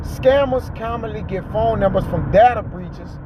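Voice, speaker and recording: A man's voice: high-pitched, normal speed. A man hosting a ride-along talk, reading aloud and commenting in a colloquial, exhorting way with frequent checking tags, recorded inside a vehicle. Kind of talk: preachy casual commentary, reading an article aloud and riffing. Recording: muffled audio with loud car noise. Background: car and road noise.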